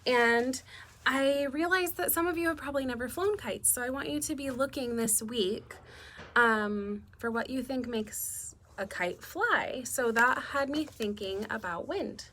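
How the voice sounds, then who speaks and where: A woman's voice: sits high, a little slow, a bit bright, slight background noise. A woman teacher doing a read-aloud lesson for young children, instructive and often asking them questions. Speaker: elementary school teacher.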